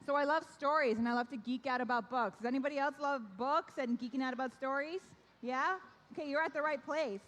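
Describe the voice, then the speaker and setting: A young woman with a high, bright-sounding voice hosts a ceremony for kids, coaxing them into call-and-response. Her first language is Korean, though she grew up in Canada. Her words ring out with the long reverb of a large hall. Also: brisk. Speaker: event host. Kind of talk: addressing a young audience at an awards ceremony.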